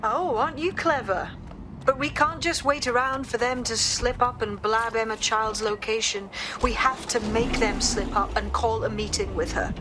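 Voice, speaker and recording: A woman's voice: on the high side, fairly even intonation, background noise, natural voice. A woman voicing a blunt hacker leader. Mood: determined. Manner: blunt, brisk.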